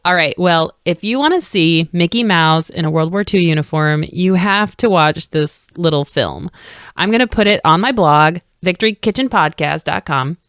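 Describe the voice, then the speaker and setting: A woman presents a podcast in a low-pitched voice. She explains things in a casual, enthusiastic way, recorded dry and close to the microphone. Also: lively intonation, normal speed, fairly bright tone, clear, resonant tone, no echo. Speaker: podcast host.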